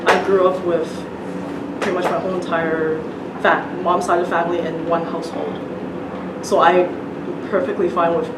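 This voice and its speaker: Woman guest author, Cantonese first language; soft, unhurried answers over heavy background noise. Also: lowish voice, flat delivery. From Canada. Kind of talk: casual interview answers. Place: studio. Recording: roomy, distant mic, loud noise.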